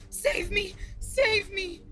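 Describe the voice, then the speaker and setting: High-pitched raving, terrified ship's mate. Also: male voice, exaggerated voice. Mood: frantic terror. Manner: halting, shrieking, raving. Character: terror-crazed ship's mate, raving and desperate. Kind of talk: audio drama acting.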